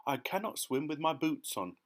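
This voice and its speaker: A man's voice: fairly low pitch, normal speed, lightly acted. A man acts out a male storybook centipede with a fussy temper. He grumbles and insists emphatically. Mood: panicked. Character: fussy, loud male centipede, obsessed with boots.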